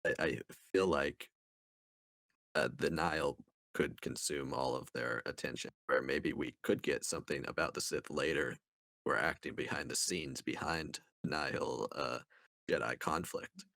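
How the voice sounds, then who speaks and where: A man co-hosting a podcast, talking casually and thoughtfully in a halting way as he gives his take on things. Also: rumbling low, steady tone, gravelly, a bit bright, dry recording. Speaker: podcast co-host reviewing books. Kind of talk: casual discussion.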